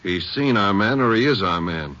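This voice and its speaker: A man's voice: on the low side, expressive, normal speed, fairly bright tone, lo-fi sound. An actor playing a watchful, plainspoken lawman riding partner in an old radio western. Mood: wary. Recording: noisy old radio drama recording.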